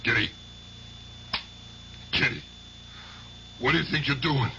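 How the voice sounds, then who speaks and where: An actor playing an older gangster uncle, guilty and haunted, in an agitated, pleading style of old-time radio drama. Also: male voice, mid-range voice, slow pace, pushed and bright, muffled recording, slight background noise, exaggerated voice. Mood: alarmed.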